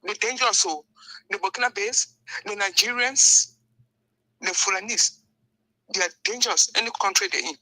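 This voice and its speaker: A woman's voice: medium pitch, normal speed, long pauses, bright, forceful tone, no echo, rough recording. An older woman from Liberia who grew up in Ghana, giving her earnest opinion as a phone-in caller. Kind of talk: earnest opinion on a call-in show.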